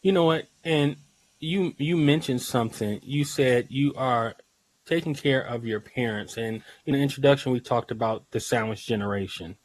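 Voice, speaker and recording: A man hosting a healthcare podcast, reading a smooth scripted intro and then chatting casually, with some stumbles and repeated words. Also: mid-range voice, moderate pace, poor audio. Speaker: podcast host, nurse.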